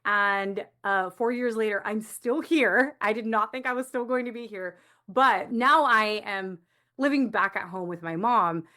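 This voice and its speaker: A woman's voice: medium pitch, measured pace, pushed and bright. A woman from the United States speaking as an interview guest, explaining things reflectively in a conversational way. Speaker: guest, coach for first-generation community.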